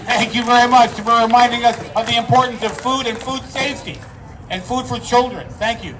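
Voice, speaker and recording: A man moderating an outdoor town hall rally, brisk, calling up speakers and leading a chant. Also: very high voice. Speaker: event moderator. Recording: distant mic outdoors, loud crowd and noise.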